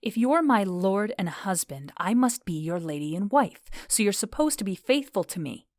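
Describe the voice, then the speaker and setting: A woman's voice: mid-range voice, sing-song, normal speed, darkish tone, no room sound, clean studio sound, natural voice. A woman playing a proud, defiant fairy queen in an audio drama, declaiming with dramatic indignation. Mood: scornful, accusing. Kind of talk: modern-English audio drama acting.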